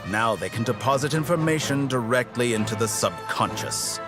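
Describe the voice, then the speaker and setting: A man's voice: medium pitch, lively intonation, moderate pace, natural voice. A man voicing a suave, condescending mad scientist villain in an anime game, theatrical and sardonic. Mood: gloating, condescending. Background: game background music.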